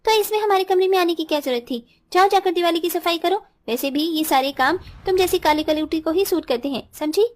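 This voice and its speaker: Casting: woman voicing a snooty young cartoon schemer, very high voice, snappish and scornful. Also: fairly quick, few pauses. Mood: angry, scornful. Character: spiteful, scheming young sisters-in-law, haughty college girls. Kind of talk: Hindi cartoon story dialogue.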